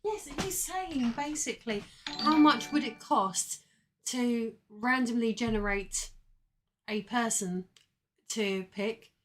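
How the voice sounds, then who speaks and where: A woman's voice: a little high, slow pace. A woman chatting casually off to the side, explaining things hesitantly with a dry, matter-of-fact way. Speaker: streamer's wife. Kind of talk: casual off-camera chat.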